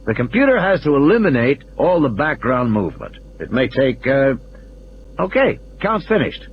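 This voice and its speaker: A man plays an assured control room engineer in an audio play, briskly explaining. The voice is pitched up and animated, on a slightly dull recording with noise behind it. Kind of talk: audio play character dialogue.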